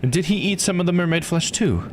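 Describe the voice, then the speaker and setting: A man's voice: high voice, expressive, fairly quick, background noise, voice pushed up. A man voicing a curious, informal investigator in a visual novel read-aloud.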